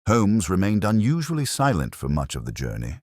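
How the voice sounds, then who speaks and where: A man with an extremely low voice reads a Victorian mystery as its narrator. His pitch moves in lively swings, and the sound is dry and close, with little room around it. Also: brisk, dry recording. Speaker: narrator, Dr Watson telling a detective story.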